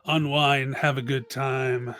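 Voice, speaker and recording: A man's voice: mid-range voice, little pitch movement, takes it slowly, fairly bright tone. Man playing an easygoing, cocky tavern bouncer. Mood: friendly, joking. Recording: music playing behind.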